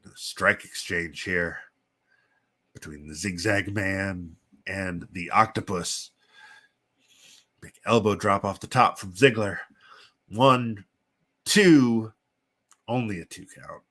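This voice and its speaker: A man with a congested, sniffly voice from a chest cold, hosting and giving casual, halting play-by-play commentary on simulated wrestling. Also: low-pitched, a bit bright. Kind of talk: casual live play-by-play commentary with chatty asides.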